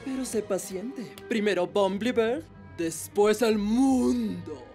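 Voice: medium pitch, slow, warm tone, faint music behind. A performer voicing a smug, commanding villain apple in a Latin American Spanish cartoon dub, gloating and theatrical. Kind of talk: animated cartoon dubbing.

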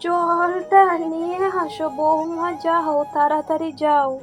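A woman's voice: very high voice, little pitch movement, takes it slowly. A woman voicing a meek, long-suffering elderly mother-in-law in a cartoon folk tale. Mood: pleading. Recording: music behind the voice.

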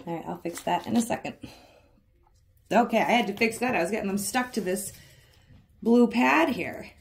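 Woman, hoarse froggy voice, gravelly, chatty diy vlog, self-deprecating, faint hiss. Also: mid-range voice, normal speed. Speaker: craft vlogger. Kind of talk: casual DIY tutorial narration. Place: living room, working at a coffee table.

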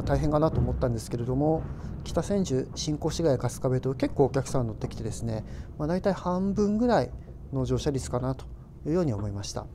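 Man narrating casually over clear train noise. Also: mid-range voice. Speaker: railway travel vlogger. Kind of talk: casual travel vlog narration.

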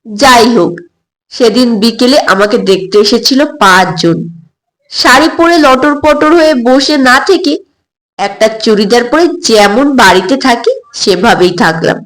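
A woman with a fairly high voice reads an audio story. She tells it in the first person, as an expressive narrator. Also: unhurried, rough recording.

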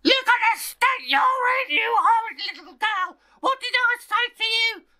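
A fierce storybook mother, played by a man, pitched high and shrill. She scolds with open menace and a murderous temper. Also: expressive, unhurried, sharp tone, exaggerated voice. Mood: shrieking fury.

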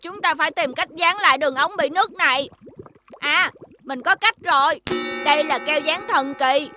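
Clever kid hero for animation, high-pitched, earnest, takes charge, over music. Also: female voice, moderate pace, pushed and bright. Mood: decisive. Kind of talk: animated children's series dubbing.

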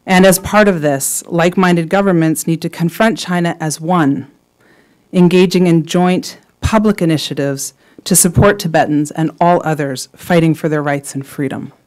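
A young woman speaks into a podium microphone in a large conference hall. She reads a prepared speech in an earnest, rhetorical way, building her points in measured phrases. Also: fairly low pitch, fairly even intonation, a little mellow. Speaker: award speaker.

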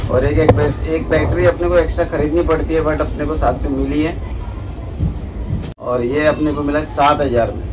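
A man in his thirties talks casually in a steady, even tone while he unpacks gear in a small room at home. His voice comes through the camera with a clear hiss of noise behind it, and he sounds relaxed and chatty as he handles each item. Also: medium pitch, distant mic. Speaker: motovlogger. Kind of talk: casual unboxing vlog. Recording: noisy home recording.

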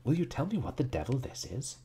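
A man voicing a brusque, excitable theatrical magnate in a storybook, terse and halting over old writing. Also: fairly low pitch, a little hiss or hum, pitched above the performer's own voice. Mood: irritated.

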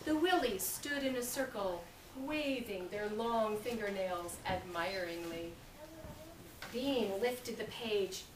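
In a reverberant, noisy library room, picked up from a distance, a middle-aged American woman reads a children's story. Her delivery is animated and projected for young listeners. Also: a little high. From California, US. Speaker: children's author reading at a library. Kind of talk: expressive children's story reading. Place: library reading area, indoors. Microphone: camera a few metres away. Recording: echoey, noisy room, distant camera mic.

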